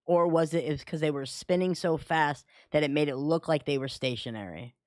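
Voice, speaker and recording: A man's voice: a little high, brisk, dry recording, studio quality. A man on a panel asking casual, analytical questions, often rewording them mid-sentence.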